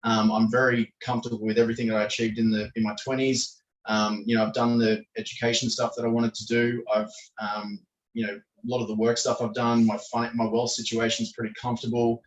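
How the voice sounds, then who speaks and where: Croaky man in an echoey room. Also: medium pitch, little pitch movement, fairly quick, highs cut off. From Australia. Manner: rambling, hesitant, many fillers and restarts. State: croaky voice, clearing throat. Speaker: podcast guest. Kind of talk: casual interview answers. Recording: echoey room, distant from the mic.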